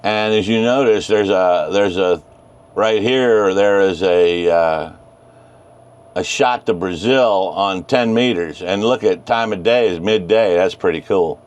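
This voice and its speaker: A man leads an informal how-to in a very slow, patient delivery. His voice sits a little low and sounds smooth and clear. He comes across as an encouraging hobbyist who is keen on his subject. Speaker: amateur radio hobbyist presenter. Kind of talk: informal screen-share tutorial.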